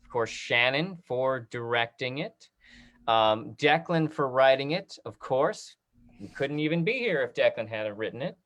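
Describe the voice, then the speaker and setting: A man hosting a casual online talk-back, speaking in a friendly, encouraging way with halting starts and frequent fillers. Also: mid-range voice, animated, a bit bright. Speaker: host and online programming director of a theater company. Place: online video call.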